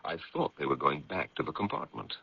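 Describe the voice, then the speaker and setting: An actor playing a protective, indignant gentleman editor in a radio mystery, with a gravelly, husky, emphatic delivery. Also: very deep voice, lively intonation, fairly quick, no echo, exaggerated voice. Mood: defensive. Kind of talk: radio drama acting, dramatic dialogue and first-person narration. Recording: dull, lo-fi vintage radio recording.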